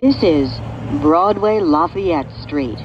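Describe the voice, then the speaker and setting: A woman giving measured, formulaic recorded announcements as a subway train announcer. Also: deep voice, animated. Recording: dull recording with clear train noise. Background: subway vehicle noise.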